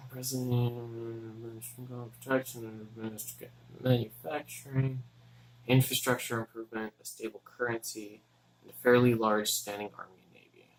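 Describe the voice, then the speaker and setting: A young man from Texas, a student, mutters to himself in a halting, casual way as he works through his notes. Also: mid-range voice, flat delivery, a little hiss or hum, rough recording. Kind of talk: casual study vlog, thinking aloud.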